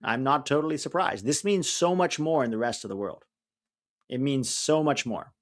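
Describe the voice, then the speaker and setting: A man co-hosting a live soccer watch-along, chatting casually and venting blunt opinions, with a mixed, hard-to-place accent. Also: medium pitch. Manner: blunt, opinionated, quick to vent frustration. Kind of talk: casual live-stream match commentary and chat.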